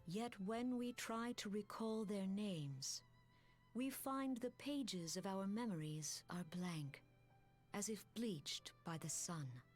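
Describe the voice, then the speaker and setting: Slow, solemn serene woman leader. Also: medium pitch, lively intonation. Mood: solemn, reverent. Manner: formal, measured, archaic oratory. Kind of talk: scripted fantasy game dialogue. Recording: game music behind.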